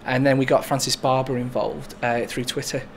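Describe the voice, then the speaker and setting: A man's voice: mid-range voice, flat delivery, moderate pace, gravelly. A man in his twenties talking casually in an interview as a young writer and animator, in an indoor hall. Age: 20s. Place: large screening hall, indoors. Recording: faint room noise.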